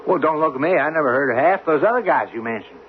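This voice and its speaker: A man's voice: a little high, animated, quick pace, lightly acted. A man acting in a 1970s radio drama as a nervous, plain-spoken sidekick with a hesitant, colloquial way of talking. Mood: casual. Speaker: actor in a radio play. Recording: tinny, noisy 1970s radio recording.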